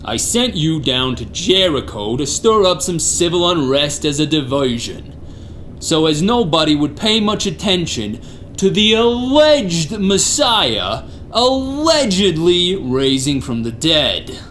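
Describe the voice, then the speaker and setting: Slow, swooping pompous gangster boss. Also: mid-range voice, sing-song, fairly bright tone. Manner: deliberate, scolding mobster slang. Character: pompous, irritable gangster boss, curt and domineering. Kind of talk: comic radio theater acting. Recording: faintly noisy recording.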